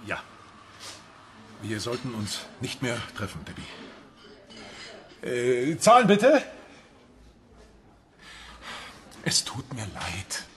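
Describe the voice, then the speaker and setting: A man's voice: medium pitch, wildly swooping pitch, takes it slowly, pauses often, a bit bright, exaggerated voice. An actor playing a married man in a German radio crime drama, hesitant and defensive as he justifies himself. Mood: awkward, firm. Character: married man evasively ending his affair, self-justifying. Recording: music behind the voice.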